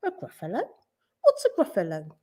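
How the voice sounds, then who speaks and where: A woman's voice: sits high, huge pitch swings, normal speed, warm tone, voice pushed up. A woman voicing a sly but timid old male snake in a children's storybook, with a theatrical manner. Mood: sly, curious.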